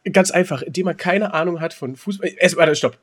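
A man with a slightly high voice chats casually as a podcast co-host. He teases as he goes and rambles through his stories, often repeating words. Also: brisk, fairly bright tone. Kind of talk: casual banter and storytelling.

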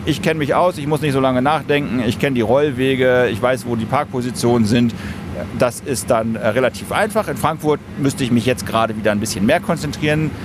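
A man, an airline pilot, giving thoughtful, measured explanations in an interview. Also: medium pitch. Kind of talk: interview answers, explanatory chat. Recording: clear vehicle-like ambient noise.

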